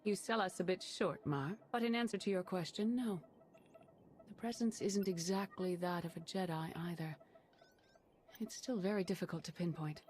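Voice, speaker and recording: An actress playing a calm, perceptive woman Sith agent who speaks in a measured way. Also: lowish voice, slight background noise, close to an everyday voice. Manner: calm, measured, quietly urgent.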